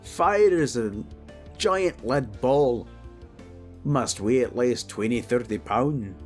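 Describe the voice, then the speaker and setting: Fantasy audio drama: stout old dwarf warrior king played by a man, commanding, folksy, deliberate in pace. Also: medium pitch, animated, darkish tone, faint music behind. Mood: boastful. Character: stout old dwarf king, proud, battle-hardened, hearty.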